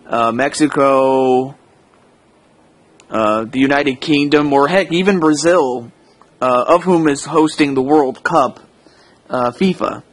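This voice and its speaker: Slow, hesitant American man rambling. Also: mid-range voice, no echo. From United States. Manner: hesitant, rambling, self-correcting. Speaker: audio log host. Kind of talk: casual unscripted monologue.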